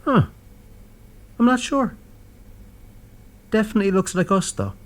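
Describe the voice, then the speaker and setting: A man playing a pedantic, deadpan monster roommate who is easily affronted, in an audio drama. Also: sits high, a little slow. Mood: curious, puzzled. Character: fussy male purple furry monster roommate, bespectacled, pedantic.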